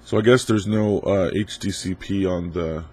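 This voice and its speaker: A man's voice: sits low, fairly even intonation, moderate pace, darkish tone, slightly rough. A man in his twenties with a stuffy head cold, answering viewer questions in a laid-back, rambling way. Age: 20s. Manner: laid-back, rambling, lots of ums and uhs. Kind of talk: casual Q&A video.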